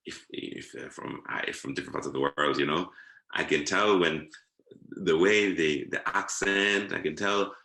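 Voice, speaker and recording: A man's voice: sits low, moderate pace, sharp tone, roomy sound, poor audio. A man from Zimbabwe, a guest talking in a reflective conversation, thoughtful and repeating words to savor them. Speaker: guest, performing artist.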